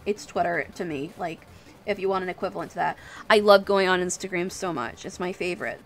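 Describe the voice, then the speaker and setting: A woman's voice: on the low side, brisk. Female livestreamer, informal and encouraging, talking off the cuff over background music. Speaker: livestream host and digital artist. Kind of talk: casual livestream chat while drawing. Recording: music playing behind the voice.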